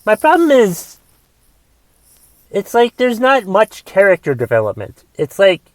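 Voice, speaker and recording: A man in his forties with a raspy voice from a cough, casually rambling through a movie review on his podcast and thinking aloud with lots of fillers. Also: high-pitched, wildly swooping pitch, unhurried, dry recording. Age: 40s. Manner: thinks aloud, musing questions, trailing fillers. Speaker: podcast host.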